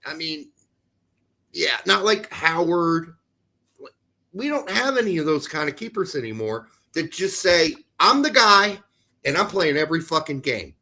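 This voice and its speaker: An American man co-hosts a casual soccer livestream in a lively, swooping voice with a gravelly edge. He is blunt and opinionated, talks in a loose, chatty way and swears casually. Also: on the high side, measured pace. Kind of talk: casual sports chat.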